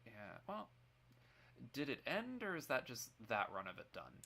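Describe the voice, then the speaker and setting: A stream co-host bantering casually and reacting out loud in a conversational way. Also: mid-range voice, huge pitch swings, moderate pace, gravelly, husky. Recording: faint background noise under voice.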